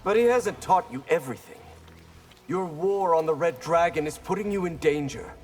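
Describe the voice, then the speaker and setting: Game ninja sidekick played by a man: fairly high, animated, playful, teasing, casual. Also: moderate pace, soft background music, lightly acted. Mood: teasing, concerned. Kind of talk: video game acting.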